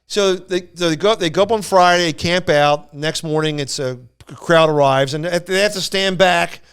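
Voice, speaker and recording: A man with a smooth, fairly high voice spins a casual story on a podcast. He rambles along, stumbling over words and circling back to repeat phrases. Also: normal speed, fairly bright tone. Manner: rambling, stammering, repeats himself. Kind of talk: casual anecdote telling.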